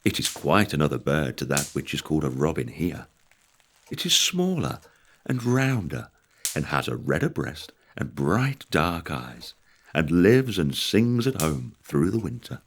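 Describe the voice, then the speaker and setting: A fond, patient father in an audio drama. His voice is low and lively in pitch as he explains and describes, with some noise behind him. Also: unhurried, exaggerated voice. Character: English emigrant father, fond, patient storyteller.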